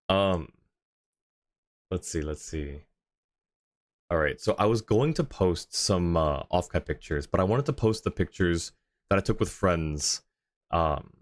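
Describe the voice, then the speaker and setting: A man with a low-pitched voice hosts a livestream. He talks casually and sociably with his audience, in a friendly, conversational way. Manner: friendly, chatty, thanks and greets viewers by turns. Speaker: live streamer, host. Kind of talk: casual livestream chat with viewers.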